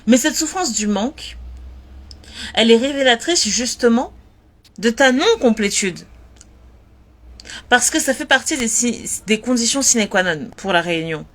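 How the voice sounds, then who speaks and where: A woman talking earnestly and directly to her listeners, repeating words and pausing on fillers as she gives spiritual advice. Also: on the high side, expressive, fairly bright tone. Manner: earnest, repetitive, lots of fillers. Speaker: spiritual advice content creator. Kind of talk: casual talk.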